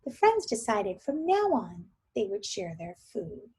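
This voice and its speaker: A woman teacher reading a story aloud to young children, expressive and often thinking aloud with questions for her listeners. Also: medium pitch, measured pace, slightly rough.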